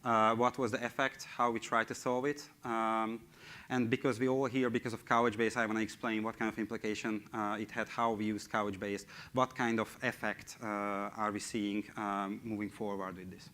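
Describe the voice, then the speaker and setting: Hungarian guy giving a conference talk, kinda low voice, lots of uhs, speaking on a stage mic. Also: level tone, normal speed, fairly bright tone. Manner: hesitant, many fillers, non-native phrasing. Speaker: conference speaker, engineering team lead. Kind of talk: tech conference presentation. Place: large conference hall stage.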